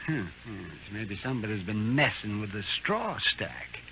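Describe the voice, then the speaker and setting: An actor playing a crusty, shrewd older frontier doctor, wry and folksy, in a muffled old radio western. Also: male voice, lowish voice, lively intonation, normal speed, background noise. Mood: musing. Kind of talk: old-time radio acting.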